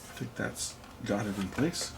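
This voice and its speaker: A man's voice: on the low side, a little slow, creaky, background noise. A man giving casual hands-on narration as host of an electronics repair video, half muttering to himself as he works.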